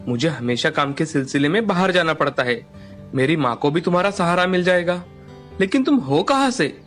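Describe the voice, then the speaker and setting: Woman doing a low-toned village man. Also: expressive, normal speed, soft background music. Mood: kind, practical. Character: village men: helpful farmer brother, greedy rich headman.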